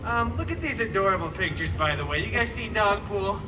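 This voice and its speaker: A man's voice: sits high, expressive, fairly quick, heavy background noise. A man playing a wisecracking, theatrical antihero storyteller in a live stage show, playful and sarcastic as he addresses the audience. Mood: playful, teasing. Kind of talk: live theme park stage show, reading a parody bedtime story. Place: outdoor theme park stage. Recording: distant amplified outdoor show, loud music and crowd.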